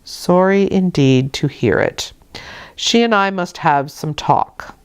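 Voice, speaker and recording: A woman reading a storybook and voicing a stern, solemn gentleman in an imitation bass, speaking gravely and deliberately. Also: animated. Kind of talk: storybook character voice.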